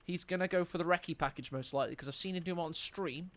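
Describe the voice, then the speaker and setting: A man commentates a strategy game tournament live, and his voice sits noticeably high for a man. He keeps things jokey and chats with his viewers between plays. A faint background noise runs under the commentary. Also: fast, fairly bright tone. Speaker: esports caster.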